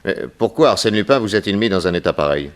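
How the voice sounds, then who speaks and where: An actor playing a shrewd, unflappable private detective, probing and dryly confident, in 1960s French radio drama style. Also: male voice, deep voice, lively intonation, quick pace.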